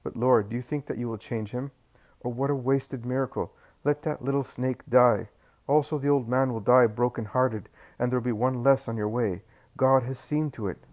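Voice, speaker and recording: A man's voice: mid-range voice, fairly even intonation, moderate pace, darkish tone, lightly acted. Scornful, bitter male disciple, as a man reads him. The voice sits in a plain middle register with a cutting tone.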